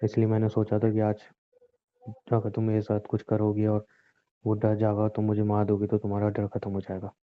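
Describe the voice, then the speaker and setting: A man acts out a devoted young husband in a romantic call drama. His voice is low and quite flat in melody, earnest and reassuring. It comes through muffled, like a phone line. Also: normal speed, no echo, lightly acted. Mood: earnest, explaining. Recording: muffled, narrow phone-like audio.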